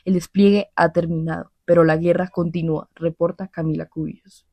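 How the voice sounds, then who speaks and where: Girl doing a serious war correspondent lady for a radio play, soft dark voice, solemn and measured. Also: on the low side, little pitch movement, no room sound. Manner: solemn, measured, descriptive delivery. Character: grave female war correspondent reporting from Vietnam jungle. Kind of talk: dramatic scripted radio news report.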